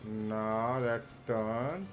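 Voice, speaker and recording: A man hosting a game livestream with casual commentary, halting and repeating himself as he thinks aloud. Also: fairly low pitch. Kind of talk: casual live gameplay commentary. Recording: music behind the voice. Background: clear background music.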